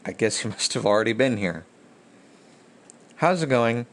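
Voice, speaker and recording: A man's voice: medium pitch, expressive, normal speed. A man chatting casually with viewers and thinking aloud in rambling fashion as he streams a video game. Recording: clear background noise.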